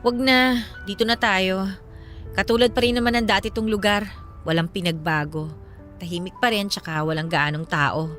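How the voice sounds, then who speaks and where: A woman's voice: mid-range voice, steady tone, normal speed, a bit bright, soft background music, close to an everyday voice. A woman playing a guarded, earnest ex-girlfriend in a radio drama, firm and matter-of-fact, with a Filipino accent.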